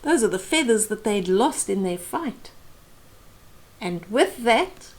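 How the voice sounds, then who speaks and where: A woman's voice: mid-range voice, animated, slow, darkish tone. A middle-aged woman hosting a children's storytime, reading a picture book expressively and explaining it to young listeners. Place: small indoor room.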